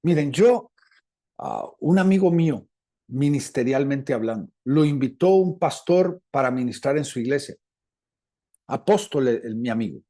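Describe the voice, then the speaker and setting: A man with a Latin American Spanish accent preaching a teaching sermon, deliberate and repeating phrases for emphasis. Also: medium pitch, lively intonation, mellow. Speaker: preacher, apostle.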